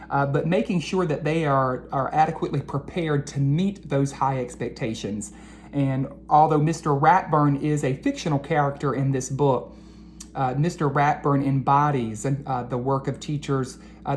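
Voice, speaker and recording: A woman educator talking to children after a storybook read-aloud, deliberate and earnest, addressing them directly. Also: rumbling low, slight background noise.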